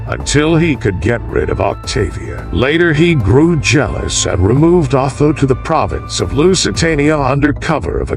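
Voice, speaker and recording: A man reading a classical history as an audiobook narrator, in a formal, measured style. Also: medium pitch.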